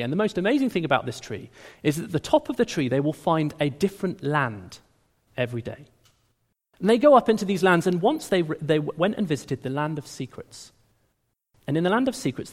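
A man preaching a sermon in a conversational, animated way, often restarting his words. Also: on the high side. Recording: long hall reverb.

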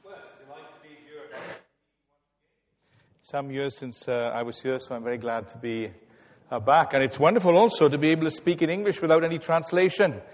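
A man with a Welsh accent giving a sermon as guest speaker, chatty and full of anecdotes, pausing on fillers as he goes. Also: mid-range voice. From Wales. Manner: chatty, storytelling, with hesitations. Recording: dull sound with long hall reverb.

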